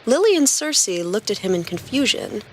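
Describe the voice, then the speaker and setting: A woman's voice: a little high, sing-song, moderate pace, a little hiss or hum. A woman reading scripted story narration as a podcast narrator and host.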